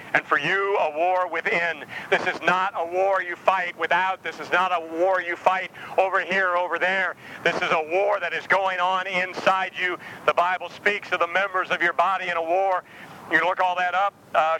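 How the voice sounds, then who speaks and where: A man's voice: high-pitched, bright, forceful tone. A man preaching an informal, earnest sermon, a little scratchy-voiced from jet lag. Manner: earnest, informal, addresses listeners as brother. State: jet-lagged, slightly scratchy voice. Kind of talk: conversational sermon.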